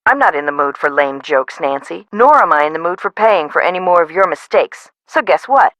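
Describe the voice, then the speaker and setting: A businesslike, exacting female boss in a video game. She speaks bluntly and matter-of-factly in a slightly low voice. The sound is close and dry. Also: expressive, a little fast, fairly bright tone, no echo. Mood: stern, annoyed.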